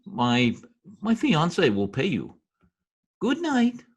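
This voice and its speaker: A man's voice: fairly high pitch, wildly swooping pitch, slow pace, slightly rough, full character voice. A man playing a pompous, scheming Latin diplomat in old-time radio drama style, theatrical in manner. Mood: pompous, urgent.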